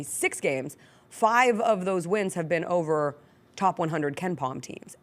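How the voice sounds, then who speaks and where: A woman hosting a sports talk show, giving articulate, detailed conversational commentary. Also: mid-range voice.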